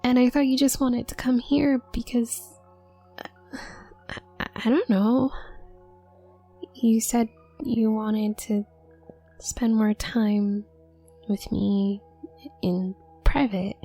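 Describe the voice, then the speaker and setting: A woman plays a flustered young witch student in an audio roleplay. Her voice is soft and mellow with a creaky edge, often sinking to a breathy near-whisper. She stammers nervously and haltingly, over faint music. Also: a little high, fairly even intonation. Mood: dawning realisation, embarrassed. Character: shy, awkward, flustered young witch classmate, female college student. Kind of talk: roleplay audio drama addressed to the listener.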